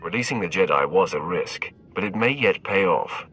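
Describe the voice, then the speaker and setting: A man's voice: low-pitched, animated, moderate pace, pushed and bright, faint music behind. A man playing an arrogant, menacing young Sith warrior in a video game, curt and haughty. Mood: cold, haughty. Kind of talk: video game cutscene acting.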